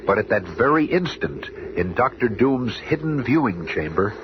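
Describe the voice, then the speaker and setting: A man's voice: medium pitch, very animated, moderate pace, heavy background noise, close to an everyday voice. A man narrating a 1970s superhero radio serial in a portentous, suspenseful style. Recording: dull vintage radio recording. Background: dramatic music.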